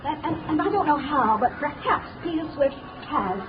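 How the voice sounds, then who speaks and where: A frightened, earnest young society woman, high-voiced and stammering with worry, coming through a thin, phone-like 1930s radio recording full of hiss. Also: lively intonation, normal speed. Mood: anxious. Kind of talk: 1930s radio drama acting.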